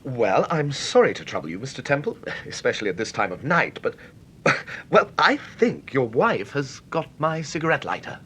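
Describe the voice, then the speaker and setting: An actor playing an apologetic, courteous but persistent gentleman visitor in a vintage radio drama, polite, hesitant and expressive. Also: mid-range voice, normal speed, slight background noise.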